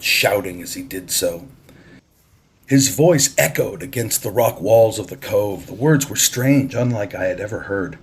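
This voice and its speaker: Husky-edged man narrating a story. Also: lowish voice. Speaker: audiobook narrator.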